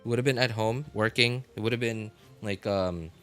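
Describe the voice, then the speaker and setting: Low-voiced male streamer over music. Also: fairly bright tone. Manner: halting, repeats phrases for emphasis. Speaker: live streamer. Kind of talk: casual unscripted stream commentary.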